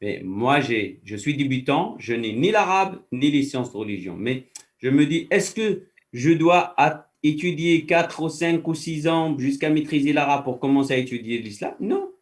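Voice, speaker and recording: A man's voice: a little high, lively intonation, normal speed, distant mic. A man, a faculty director, giving explanatory answers as an interview guest and patiently walking through first-person examples.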